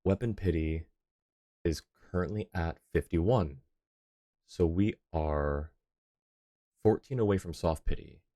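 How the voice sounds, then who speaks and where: A man's voice: deep voice, slow pace, long pauses, mellow, dry recording, clean studio sound. A man talking casually on a live gaming stream, rambling and thinking aloud as he goes. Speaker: game livestreamer.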